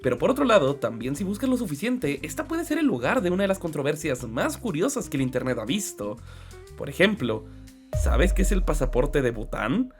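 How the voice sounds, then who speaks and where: Male narrator, 20s, comic video essays, mock-serious and sarcastic, highly expressive delivery. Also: a little fast. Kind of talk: humorous scripted narration. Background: background music.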